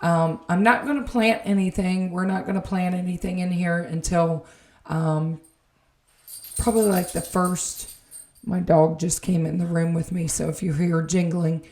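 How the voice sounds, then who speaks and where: A woman records a late-night voiceover for her garden vlog, and her voice sounds a little raspy and tired. She rambles easily and fills gaps with ums. Her voice sits on the low side and echoes in the room. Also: steady tone, a little slow, a little mellow. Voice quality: slightly raspy. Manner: rambling, many ums, self-correcting. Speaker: home and garden vlogger. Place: indoor room at home, voiceover.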